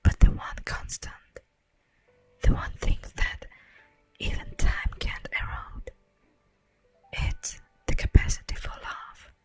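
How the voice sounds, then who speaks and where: A woman playing an ancient, wistful immortal elf in a slow, breathy whispered ASMR roleplay monologue. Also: natural voice, poor audio. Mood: wistful, earnest. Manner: slow, theatrical, lingering pauses. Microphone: close microphone. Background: faint music.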